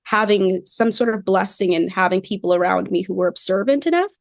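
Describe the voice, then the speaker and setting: A young woman from Cameroon, a doctoral student talking as a podcast guest in a thoughtful, animated way. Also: medium pitch, fairly quick, vocal fry, no echo. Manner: reflective, animated, says "like" often. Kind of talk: podcast interview.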